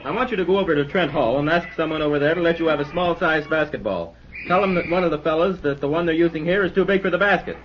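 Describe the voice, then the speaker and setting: An actor playing an arrogant, bullying cadet captain in an old-time radio serial, mocking and commanding. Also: male voice, a little high, quick pace, natural voice. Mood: sly, casual. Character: arrogant bullying older cadet captain, teenage military school tyrant. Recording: noisy, muffled old radio recording.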